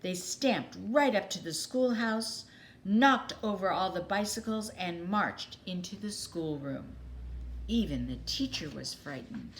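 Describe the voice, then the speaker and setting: A woman reading a children's story aloud expressively, with dramatic pauses and emphasis. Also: mid-range voice, expressive, a little hiss or hum.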